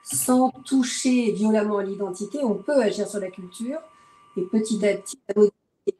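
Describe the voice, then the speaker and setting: Mellow woman in an echoey room. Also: medium pitch, moderate pace. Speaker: panel guest. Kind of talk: casual panel discussion. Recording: echoey room with faint hum.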